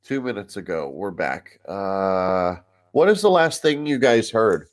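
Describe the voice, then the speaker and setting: A man with a stuffy, congested voice, co-hosting a casual tech podcast over a remote call and pausing often with filler sounds. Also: lowish voice, sing-song. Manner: halting, many filler sounds. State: congested from a cold. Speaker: podcast co-host.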